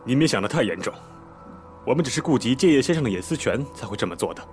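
An actor playing a police inspector in a radio drama, polite and measured but quietly pressing and official. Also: male voice, medium pitch, animated, faint music behind, close to an everyday voice. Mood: polite, firm. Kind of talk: broadcast drama acting.

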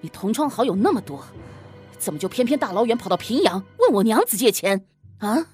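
A woman's voice: fairly high pitch, sing-song, a little fast, darkish tone, faint music behind. A woman playing a sarcastic young husband and official in a Chinese costume audio drama, with a pointed, snorting delivery. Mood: jealous, sarcastic.

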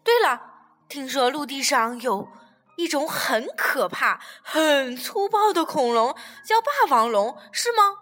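Woman putting on a gentle sea creature, kindly, high bright voice, lively, reverb, light music. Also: slow pace, exaggerated voice. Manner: soft, kindly, gently questioning. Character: gentle lonely female sea reptile, kind. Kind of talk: children's audio story acting. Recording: reverberant room with music underneath.

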